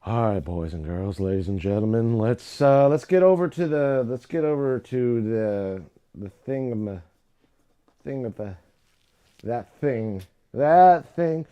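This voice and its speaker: A young adult man hosting a livestream, chatting casually and rambling with lots of fillers and restarts, his voice low today. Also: lively intonation, slow, mellow, smooth. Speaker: live stream host.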